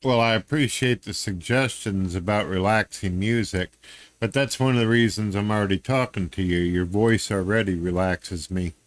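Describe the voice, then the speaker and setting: A man's voice: fairly low pitch, moderate pace, no room sound. A man from the United States hosting a podcast, chatting in a laid-back way with dry, sarcastic humor. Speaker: podcast host. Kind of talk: casual conversation.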